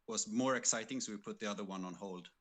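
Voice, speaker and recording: Male game developer, lower register, halting delivery, informal online livestream conversation. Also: fairly bright tone. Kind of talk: casual livestream chat. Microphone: computer microphone on an online call with noise suppression.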